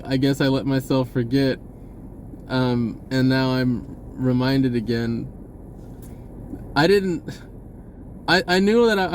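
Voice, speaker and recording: A man's voice: a little high, a little mellow, slight background noise. A man talking in a casual, rambling, informal monologue with folksy wording, often correcting himself as a spiritual vlogger.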